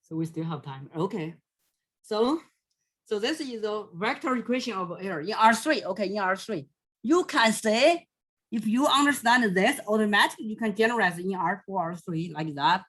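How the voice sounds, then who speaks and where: A woman from southwest China with a Chinese accent, teaching math in a recorded lecture and often pausing to correct herself. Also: medium pitch. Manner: slow, halting, thinking aloud, self-correcting. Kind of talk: recorded lecture over a digital whiteboard.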